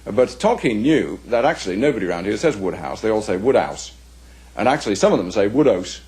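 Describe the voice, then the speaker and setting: A man with an English accent giving unscripted scholarly commentary in an interview, speaking in a deliberate, halting, explanatory way. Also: on the low side, big pitch swings, a little mellow, breathy edge.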